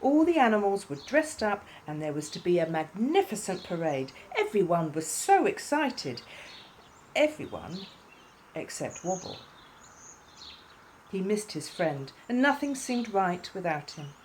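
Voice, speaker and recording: Mellow, expressive woman storyteller. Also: mid-range voice, unhurried, a little hiss or hum. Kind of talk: scripted children's story narration.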